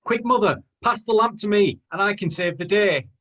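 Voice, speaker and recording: A man's voice: high voice, normal speed, sharp tone. A man playing an earnest young pantomime hero, declaiming rhymed lines over a video call. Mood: determined. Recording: dull lo-fi video call audio.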